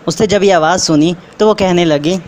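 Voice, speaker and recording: A man's voice: sits high, moderate pace, darkish tone. A man narrating a scripted moral story for children. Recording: faint background noise.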